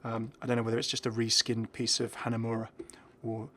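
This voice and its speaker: A man's voice: medium pitch, monotone, a little fast, a bit bright, slight background noise. A man giving casual, enthusiastic game commentary in an informal, chuckly way. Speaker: video creator presenting game content.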